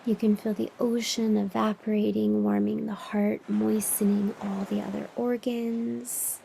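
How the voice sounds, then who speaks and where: A woman leading a guided meditation as a teacher, soothing and unhurried, with long pauses and breathy phrase endings that drop toward a whisper. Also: medium pitch, little pitch movement, mellow, a little hiss or hum, rough recording. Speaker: meditation teacher and podcast host.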